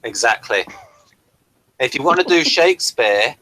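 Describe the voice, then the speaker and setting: A man with an English accent explaining things in casual discussion, often halting and rephrasing as he goes. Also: mid-range voice, expressive, pushed and bright, poor audio. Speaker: podcast reviewer.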